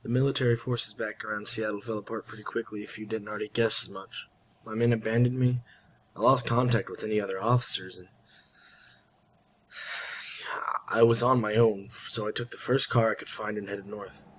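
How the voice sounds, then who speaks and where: A man's voice: lowish voice, fairly even intonation, moderate pace, fairly bright tone, a little hiss or hum, close to an everyday voice, low-quality recording. A man playing a reserved, matter-of-fact military survivor in an audio drama.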